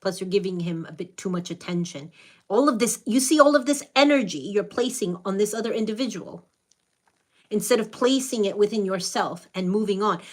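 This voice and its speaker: Spanish-accented woman on a live stream, blunt motherly advice, middle pitch, tells it straight. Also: a little fast. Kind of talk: live-stream reading of viewer questions.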